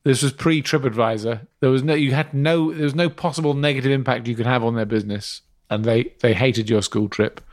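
A man's voice: medium pitch, a little fast, hardly any pauses. A man co-hosting a comedy chat, teasing the others and laughing often. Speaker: podcast co-host. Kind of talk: comic banter.

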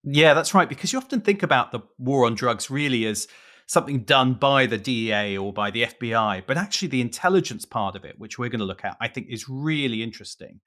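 A man's voice: medium pitch, fast. A British man co-hosting a podcast, talking in an emphatic, conversational way. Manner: animated, emphatic, stretches key words. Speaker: podcast co-host.

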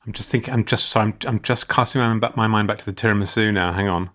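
A man's voice: on the low side, steady tone, fast talker, pushed and bright. Rambling man telling a story. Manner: rambling, self-mocking, restarts often. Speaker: podcast co-host. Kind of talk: comic storytelling chat.

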